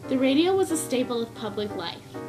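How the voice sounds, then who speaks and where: Woman, scripted documentary narration, somewhat high pitch, music behind. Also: big pitch swings, brisk.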